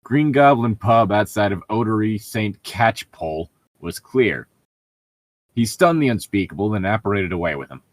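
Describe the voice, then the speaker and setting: A man's voice: fairly low pitch, animated, moderate pace, dry recording. A man co-hosting a comedic read-aloud, bantering casually with sarcastic, joking, profane commentary. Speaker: co-host reading and mocking fan fiction.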